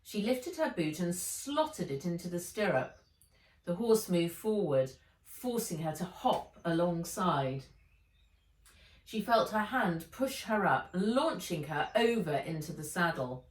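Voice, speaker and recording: A woman narrates a children's adventure book with measured expression. Her voice is fairly low and very unhurried. It sounds echoey, a little away from the microphone. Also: very animated. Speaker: author reading own book aloud. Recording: echoey room, distant from mic.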